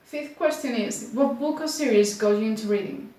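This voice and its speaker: A young woman from Spain speaking English with a Spanish accent, chatting casually to camera in an unhurried way, full of fillers like "like". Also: fairly high pitch, dark tone. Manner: unhurried, many filler likes, slightly unsure. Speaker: booktuber. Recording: very echoey room.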